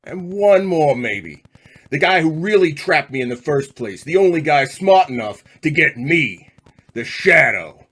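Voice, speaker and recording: An actor playing a vengeful, menacing condemned gangster in a radio drama, with tough, slangy delivery. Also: male voice, a little high, animated, measured pace, a bit bright, slight background noise. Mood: defiant. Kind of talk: old-time radio drama acting.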